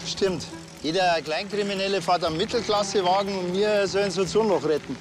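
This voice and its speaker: A man's voice: high-pitched, brisk, natural voice. Casting: man to act dry-witted Bavarian policeman, slightly sardonic TV crime comedy delivery, outdoor sound and music behind. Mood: dry, needling. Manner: deadpan, sardonic. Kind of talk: television crime comedy acting.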